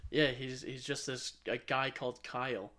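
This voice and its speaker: An American man with a medium-pitched voice talks as a podcast co-host. His delivery is casual and conversational, like chat between friends. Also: fairly even intonation, moderate pace, sharp tone.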